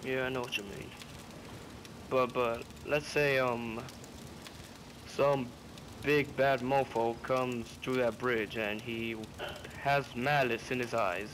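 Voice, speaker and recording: A man playing a laid-back, wry survivor in a game roleplay, pausing as he talks. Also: mid-range voice, steady tone, a bit bright, slight background noise. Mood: calm, musing. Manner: unhurried, pausing. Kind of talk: improvised video game roleplay.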